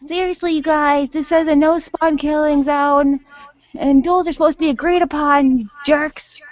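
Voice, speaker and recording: A woman's voice: high voice, fairly even intonation, moderate pace, dry recording, muffled recording, voice pushed up, lightly acted. A woman voicing an indignant gamer who scolds emphatically in an improvised radio play. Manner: exasperated, emphatic. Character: indignant scolding gamer yelling at rule-breaking teenagers. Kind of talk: improvised audio role-play.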